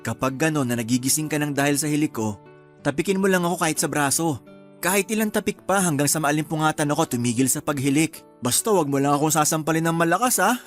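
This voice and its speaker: An actor playing a doting, playful young husband in a Filipino radio drama, with a Filipino accent. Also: male voice, on the high side, animated, fast, faint music behind, lightly acted. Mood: doting, teasing.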